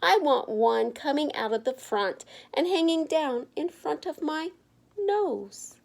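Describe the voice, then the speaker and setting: Storybook role: stubborn young schoolgirl voiced by a woman, opinionated, emphatic, higher-pitched. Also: expressive, takes it slowly, gravelly, full character voice. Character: stubborn, defiant little schoolgirl with strong opinions.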